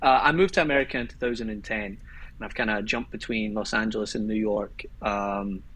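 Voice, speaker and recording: A Scottish man in his thirties with a husky, breathy voice talks as a podcast guest. He speaks in a relaxed, meandering way, restarting his sentences as he goes. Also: on the low side, a little fast, poor audio. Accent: Scottish, Glasgow. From Scotland. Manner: relaxed, rambling, with restarts and laughs. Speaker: guest filmmaker. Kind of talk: casual podcast chat.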